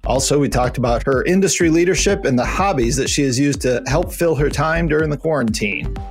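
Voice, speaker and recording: A man's voice: on the high side, a little fast, faint music behind. A man hosting a science podcast, reading an introduction and asking loose, rambling questions. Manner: loose, filler-heavy questioning. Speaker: podcast host.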